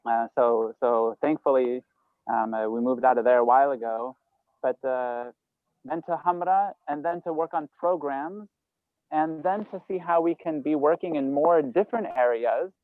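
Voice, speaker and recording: A man's voice: mid-range voice, normal speed, dry recording. A man from Lebanon introducing himself on a video call, rambling and correcting himself with many fillers. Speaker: entrepreneurship program manager. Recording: dry, phone-like call audio.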